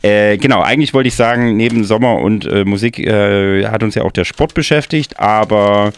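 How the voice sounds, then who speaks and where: A man's voice: lowish voice, normal speed, clean tone. A man hosting a music show in casual, rambling, joking chat. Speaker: radio music show host. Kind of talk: casual chatty radio moderation.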